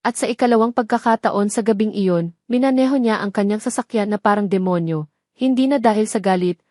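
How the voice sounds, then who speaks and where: Female narrator, scripted audio drama, measured delivery, dramatic pauses, medium pitch, close dry recording. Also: mellow, dry recording. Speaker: story narrator.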